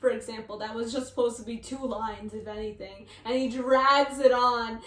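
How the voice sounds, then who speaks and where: High-voiced woman, casual and echoey. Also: fairly even intonation, moderate pace, distant mic. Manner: informal, slangy. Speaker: reaction video host. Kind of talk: casual reaction commentary.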